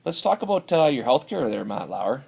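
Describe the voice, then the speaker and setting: A man's voice: medium pitch, sing-song, creaky, a little mellow, breathy edge, exaggerated voice. A man playing a boozy, brawling Canadian hoser with a Canadian accent, rambling and stumbling, ending lines with "eh". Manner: rambling, halting, tacks on "eh". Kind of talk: improvised comedy sketch.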